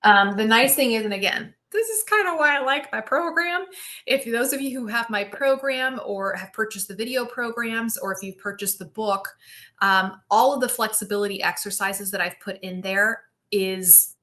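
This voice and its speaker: A middle-aged woman hosting a live physical therapy Q&A, with a bright, forward voice. She teaches in an upbeat, chatty way, often checking in with her viewers. She projects loudly into her device's own mic. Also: on the high side, normal speed. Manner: enthusiastic, frequent "right?" check-ins. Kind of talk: live-stream Q&A, casual teaching. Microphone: built-in device mic.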